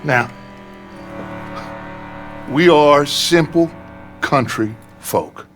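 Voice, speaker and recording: Slow, grandiose American outlaw leader. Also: male voice, fairly high pitch, fairly bright tone, faint music behind. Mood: smoothly persuasive. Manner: measured, silver-tongued, oratorical. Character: charismatic, grandiose outlaw gang leader, middle-aged American man. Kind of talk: dramatic western video game acting.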